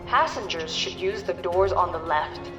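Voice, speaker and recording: A woman's voice: fairly low pitch, lively intonation, a little slow, over music. An actress playing a polite, impersonal female train announcer in a video game, over a public address system. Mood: neutral, courteous. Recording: echoing, distant public address speaker.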